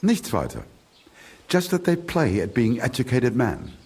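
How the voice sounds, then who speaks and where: An actor playing a grumbling, condescending village merchant in a video game, with a German accent and German phrases slipped in. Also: male voice, medium pitch, huge pitch swings, a little slow, darkish tone, breathy edge, full character voice. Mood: gossipy. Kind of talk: video game character dialogue.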